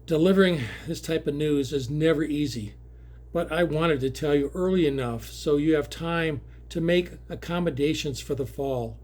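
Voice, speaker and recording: A man playing a sympathetic, even-keeled coach who delivers hard news patiently in an audio drama read. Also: on the high side, close to an everyday voice. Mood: sympathetic, regretful. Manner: patient, measured, apologetic.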